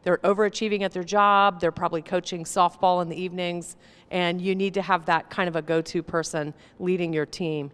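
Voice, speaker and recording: A woman shares her group's thoughts at a community seminar in a chatty way, checking in with "right?". Her voice is low-set and smooth. Long hall reverb trails behind her. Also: steady tone, fairly quick, a bit bright. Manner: conversational, asks "right?". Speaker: seminar participant.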